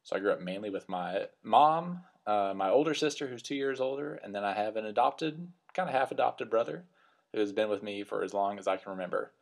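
A man's voice: lowish voice, a little fast, creaky. A man in his thirties with a West Texas accent, a minister talking earnestly and reflectively in a solo podcast. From West Texas Panhandle, US. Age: 30s. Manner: earnest, reflective, slightly self-conscious. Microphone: computer microphone.

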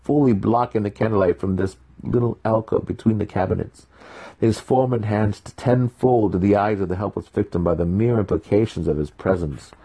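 A man with a deep voice narrates fantasy fiction dramatically. His tone is husky and rough-edged, delivered as a scripted audiobook reading. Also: moderate pace, warm tone, low-quality recording.

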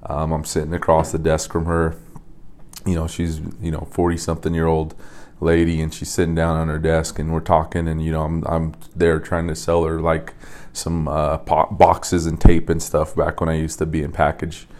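A young man from Las Vegas hosting a podcast, telling stories in a loose, rambling way with repeated words and soft laughs. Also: a bass voice, completely flat delivery, normal speed. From Las Vegas, USA. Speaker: podcast host and coach. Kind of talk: casual storytelling monologue.

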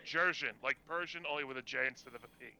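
A man's voice: fairly high pitch, fast, pushed and bright. A man joking around as a co-host on a casual live stream. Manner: wisecracking.

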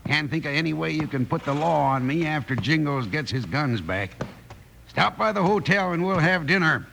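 A slick American outlaw in a radio western. His gravelly voice swings widely in pitch, mocking and falsely friendly with an edge of command. The vintage recording is clearly noisy. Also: lively intonation, moderate pace, pushed and bright, exaggerated voice. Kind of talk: old-time radio western acting.

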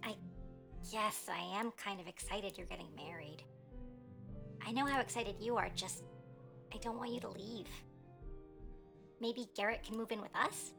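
A woman's voice: medium pitch, normal speed, vocal fry, long pauses, over music, natural voice. An actress playing a lonely kid sister who pleads and rambles earnestly as she tapes a message in a radio play. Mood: pleading.

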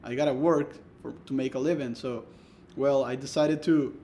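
A man with a Salvadoran Spanish accent talks in a relaxed interview, his voice sitting at an ordinary middle pitch. He restarts his sentences often and leans on "like" as he gathers his thoughts. Faint noise hums behind him. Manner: halting, many restarts and "like". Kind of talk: casual conversational interview.

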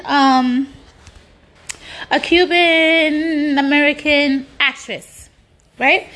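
A woman's voice: sits high, fairly bright tone. A woman delivering an opinionated, exasperated monologue in colloquial speech, with rhetorical questions and frequent swearing.

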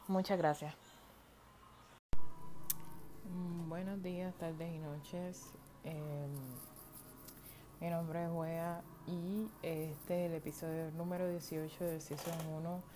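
A woman from Puerto Rico with a Puerto Rican Spanish accent, hosting a casual solo podcast in an informal, rambling way with slang and some English words. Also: deep voice. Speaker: podcast host. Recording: home recording with outdoor yard noise. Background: faint noise from outside.

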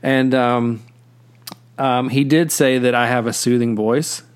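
A man's voice: mid-range voice, steady tone, a little slow, clean tone. A man hosting a podcast in casual chat, rambling with many fillers and restarts. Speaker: podcast host.

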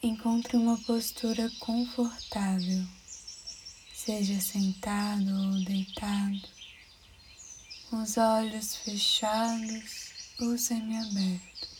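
A Brazilian woman leads a guided meditation at a very unhurried pace. Her delivery is soft and soothing, with phrases trailing into breath. Birdsong and noticeable ambient noise sit behind her voice. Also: medium pitch, flat delivery. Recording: clear background noise with birdsong. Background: birds chirping, outdoor-like ambience.

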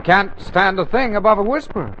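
Evasive middle-aged American husband, hesitant stammer, very animated pitch, 1940s radio comedy, muffled noisy broadcast. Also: male voice, normal speed. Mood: nervous, flustered.

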